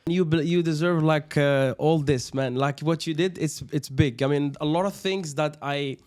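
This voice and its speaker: A man's voice: a little high, moderate pace, a little mellow. A man from Algeria hosting a podcast, asking eager questions with many fillers and restarts. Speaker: podcast host. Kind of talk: conversational interview.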